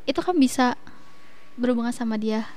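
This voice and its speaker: A woman in her twenties talking as a guest on a casual podcast, relaxed, with hesitant fillers and frequent giggles. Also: on the high side, a little fast. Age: 20s. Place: coffee shop.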